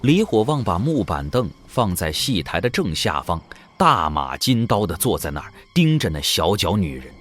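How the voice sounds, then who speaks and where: Low, animated male story narrator. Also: normal speed, hardly any pauses, faint music behind. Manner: suspenseful storytelling. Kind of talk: dramatic scripted audiobook narration.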